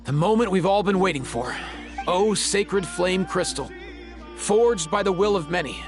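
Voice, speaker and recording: Kind young game healer, high expressive voice, music behind. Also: male voice, a little slow. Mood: solemn, hopeful. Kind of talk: video game character acting.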